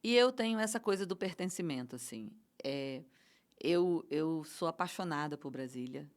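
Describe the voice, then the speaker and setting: A middle-aged woman with a Brazilian accent talks as a guest in a casual interview. Her voice sits a bit low. She speaks with passion and feeling, often restarting her sentences. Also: animated, normal speed. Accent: Brazilian Portuguese. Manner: passionate, emotional, many restarts and fillers. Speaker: guest, event producer.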